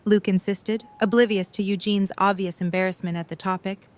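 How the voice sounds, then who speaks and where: A woman's voice: medium pitch, fairly even intonation, fairly quick. A woman reading scripted story narration for an audio drama.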